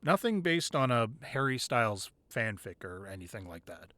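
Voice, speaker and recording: A man acts out an affectionate boyfriend who doubles as a hardened crime boss in an intimate audio roleplay. His mid-pitched voice moves freely up and down, conversational and able to turn from playful to commanding. The sound is close and very dry. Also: big pitch swings, normal speed, fairly bright tone, no room sound. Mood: playful, amused. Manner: conversational, playful with partner, curt and commanding in business. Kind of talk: intimate ASMR roleplay acting.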